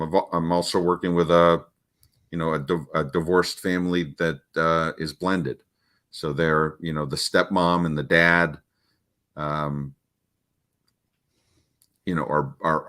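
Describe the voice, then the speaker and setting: Middle-aged guy with a really low deep voice, parenting coach chatting, lots of ums and restarts. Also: a bit bright. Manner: halting, many restarts and fillers. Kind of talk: casual interview talk.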